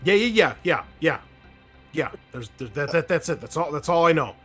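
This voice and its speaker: A man performs a cornered male insurgent in a tabletop roleplaying game. The voice jumps up high and loud, stammering and repeating words in frightened, pleading surrender. Music plays faintly behind. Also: animated, normal speed, breathy edge. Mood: nervous, pleading. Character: frightened captured male insurgent, cracking under interrogation.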